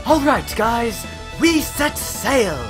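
A man's voice: extremely high pitch, expressive, slow, over music, full character voice. A man voicing a sarcastic, wisecracking young hero in a fan-made parody dub. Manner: snarky, wisecracking.

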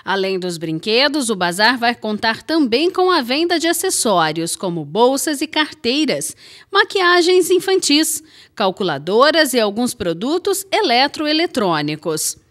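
A woman's voice: on the high side, big pitch swings, unhurried, a bit bright. A woman with a Brazilian Portuguese accent, reading a local TV news report as voice-over narration.

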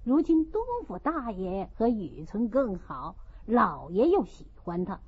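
A woman as a slow, cautious household steward. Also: medium pitch, very animated, slightly rough, voice pushed down, exaggerated voice. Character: Lin Zhixiao, cautious, deferential male household steward. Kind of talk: audiobook character reading of a classical novel. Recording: dull lo-fi audio.

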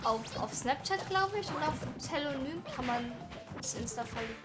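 A woman's voice: sits high, animated, normal speed. A young woman chatting casually on a gaming stream, rambling with lots of fillers, speaking into a headset. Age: young adult. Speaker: streamer's girlfriend, co-host. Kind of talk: casual chat while gaming. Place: indoor room, streaming setup. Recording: game music loud underneath. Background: loud game music.

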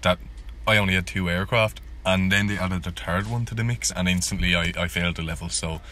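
A man with a deep voice hosts a gameplay video. He talks casually and live, poking fun at his own skills and repeating himself when flustered. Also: steady tone, fairly quick, sharp tone. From Ireland. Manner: self-deprecating, repeats words when flustered. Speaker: gaming video host.

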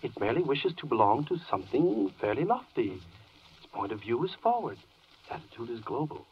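An actor playing a persuasive, theatrical defense lawyer in a 1940s rhymed radio drama. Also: male voice, mid-range voice, big pitch swings, a little fast, breathy edge. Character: smooth-talking, persuasive male defense lawyer. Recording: dull, distant 1940s radio recording.

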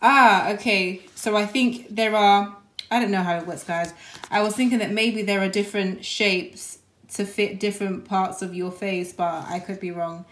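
Young woman, home vlogger, casual rambling chat, self-correcting, mid pitch, echoey small room, phone mic. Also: fairly even intonation, moderate pace. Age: young adult. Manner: chatty and rambling, often hedging and correcting herself. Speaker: lifestyle vlogger. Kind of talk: casual vlog chat to camera. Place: small rooms at home, bedroom and kitchen. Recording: roomy echo of a small room.

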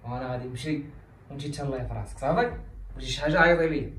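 A man plays a brusque, scolding Moroccan older brother. He talks rapidly in a somewhat high voice. The sound is echoey and distant, with faint noise behind. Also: fairly bright tone, natural voice. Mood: bossy. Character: bossy short-tempered brother, young adult man. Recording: roomy echo, mic set back.

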